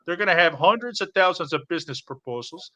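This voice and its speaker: A bragging, shady middle-aged businessman, as a man puts him on for a comedy stream. He rambles through his schemes in a Chicago accent, stumbling over fillers. His voice is bright and forceful and sits a little high. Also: expressive, quick pace, highs cut off. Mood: scheming. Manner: rambling, boastful, full of fillers. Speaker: stream co-host.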